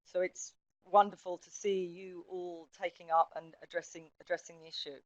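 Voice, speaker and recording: A woman's voice: on the low side, flat delivery, normal speed, dry recording, muffled recording. A woman giving a conference presentation, speaking in a friendly way and addressing the audience directly. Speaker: academic speaker.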